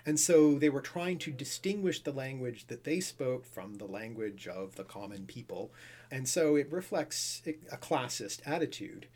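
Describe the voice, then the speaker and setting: A man with a husky, slightly breathy voice talks through ideas about language at an easy, conversational pitch. He explains patiently and thinks aloud, with frequent "you know" and small restarts. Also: expressive, moderate pace, darkish tone. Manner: thoughtful, explaining with fillers and restarts. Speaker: language expert guest.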